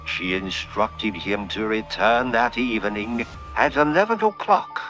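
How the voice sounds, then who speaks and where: A man's voice: on the low side, expressive, a little slow, fairly bright tone, faint music behind. A man playing a genteel, droll lord who also narrates a horror-comedy radio play in a courtly, theatrical manner. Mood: ominous narrating.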